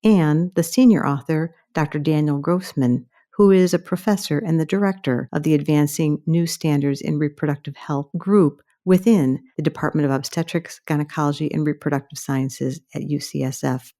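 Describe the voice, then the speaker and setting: An American woman hosts a formal medical interview in a low voice. Her tone is dark and relaxed, and she sounds middle-aged. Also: normal speed, few pauses. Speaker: podcast host and medical journal editor.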